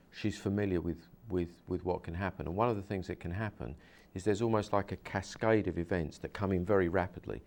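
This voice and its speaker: A man, a hypnosis practitioner, interviewing a guest and explaining his method earnestly, with many repeats and restarts. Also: low voice.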